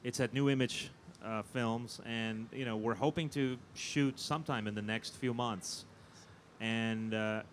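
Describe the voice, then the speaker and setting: A man's voice: medium pitch, steady tone. Guy being interviewed on a con panel, stammers and restarts a lot, bit of background noise. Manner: halting, often stammers and repeats words. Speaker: film producer and panel guest. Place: fan convention event. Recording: noisy live event with background ambience.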